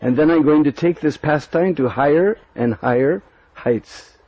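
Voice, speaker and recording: A man's voice: a little high, a little slow, breathy edge, no room sound. A man voicing a confident, playful young cowherd prince making a mischievous plan. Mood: reassuring. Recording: dull dry recording.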